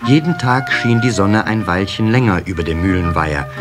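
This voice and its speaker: Low, expressive male storyteller. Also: moderate pace, over music. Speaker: narrator. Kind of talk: scripted storytelling for a children's radio play. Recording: slightly hissy old cassette recording.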